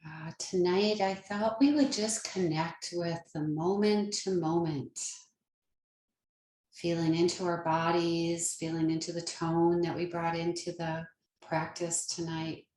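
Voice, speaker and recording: A woman leading a guided meditation as a yoga nidra teacher, speaking gently and soothingly with long pauses. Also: fairly low pitch, fairly even intonation, roomy sound, slight background noise.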